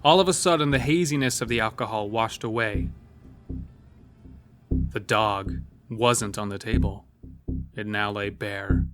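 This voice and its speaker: A man's voice: lowish voice, unhurried, long pauses. A man narrating a scripted horror story. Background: soft music bed.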